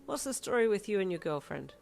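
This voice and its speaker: A woman's voice: medium pitch, sing-song, fast talker, gravelly, pitched above the performer's own voice. A woman voicing a jolly, doting middle-aged father who calls out heartily and jokes. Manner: hearty and warm, calling out loudly.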